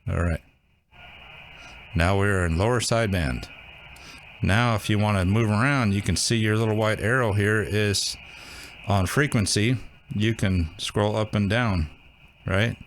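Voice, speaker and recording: A man with a low-pitched voice presents a casual, hands-on tutorial. He talks informally, thinking aloud as he demonstrates, like a host showing viewers around a device. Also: slight background noise. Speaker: host of a radio how-to channel.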